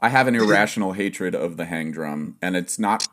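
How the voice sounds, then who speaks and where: A man hosting a casual interview, a fellow musician, speaking with halting restarts and brief backchannels. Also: deep voice, a bit bright. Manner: conversational, short backchannels, halting starts. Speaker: interviewer, fellow steelpan player.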